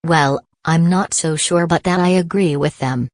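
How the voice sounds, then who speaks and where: A woman's voice: low-pitched, unhurried. A woman playing a disapproving, plainspoken wife and mother in a radio play. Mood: gently reproachful.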